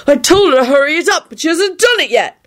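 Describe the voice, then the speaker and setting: Woman playing a loud, sulky drunk young man, pitched high. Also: big pitch swings, fairly quick. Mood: sulky, demanding. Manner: bellowing, slurred sing-song bursts.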